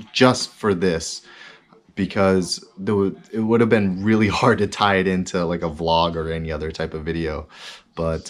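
A man in his thirties talking casually to camera in a small basement room, rambling and earnest with lots of fillers and restarts. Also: deep voice. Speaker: vlogger. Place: small finished basement room with bed, indoors.

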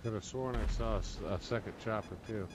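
A man's voice: lowish voice, a little fast. A man making callouts over voice chat as a player in a multiplayer game. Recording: loud game noise, gunfire behind.

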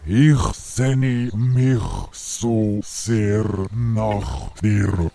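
A man's voice: fairly low pitch, steady tone, drawn-out words, vocal fry, mellow, a little hiss or hum, heavily acted. A man playing a yearning, languid lover in a surreal radio play.

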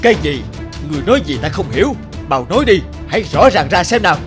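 A middle-aged cartoon landlord, smug and eccentric. His fairly high voice swings widely with theatrical, mock-polite menace. Music plays clearly underneath. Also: sing-song, fast. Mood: agitated. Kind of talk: cartoon fairy-tale voice acting.

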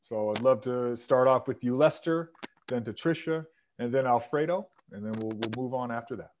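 A man in his forties moderating a video call panel from an office, relaxed with many fillers. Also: mid-range voice, normal speed, slight background noise. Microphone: earbud headset on a video call.

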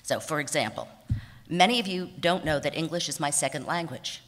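Low-voiced woman speaking at a podium. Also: a little fast. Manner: warm, self-deprecating, with light laughs and small restarts. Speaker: award honoree giving an acceptance speech. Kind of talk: prepared speech read from notes. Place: banquet hall stage at a podium. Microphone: podium microphone on a stand. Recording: reverberant banquet hall.

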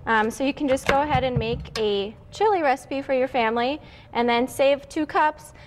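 High-voiced young woman explaining a recipe. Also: moderate pace, background noise. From Wisconsin. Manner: friendly, explains step by step. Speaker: guest home cook. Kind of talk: casual cooking demonstration. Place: TV studio kitchen set.

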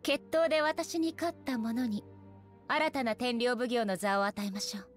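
An actress playing a stern, aloof woman shogun in an anime game, speaking formally with measured authority. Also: a little high, soft background music, natural voice. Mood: stern, composed. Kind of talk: anime game character acting.

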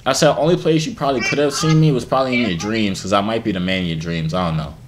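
A young man doing deadpan, playful trash talk in a prank video chat, filmed on a webcam in a small room. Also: fairly low pitch, rapid-fire, background noise. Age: young adult. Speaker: YouTuber on video chat app.

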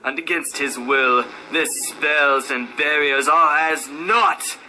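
A man voicing a wild prophet in a horror story, declaiming and shouting in frenzy. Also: fairly high pitch, slow, exaggerated voice. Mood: exultant. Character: exultant wild old prophet, shrieking from ecstasy into terror. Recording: noisy radio station room.

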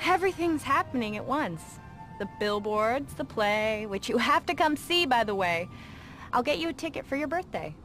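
A woman's voice: fairly high pitch, animated, moderate pace, fairly bright tone, faint music behind. An actress playing a young woman, an aspiring stage performer and a teasing, easily exasperated friend. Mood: bright, teasing. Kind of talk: video game voice acting.

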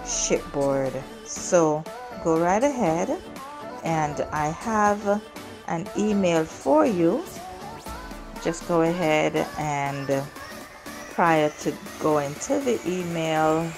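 A woman hosting an informal promotional video as a travel agent, speaking in deliberate, halting phrases. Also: deep voice, vocal fry. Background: music.

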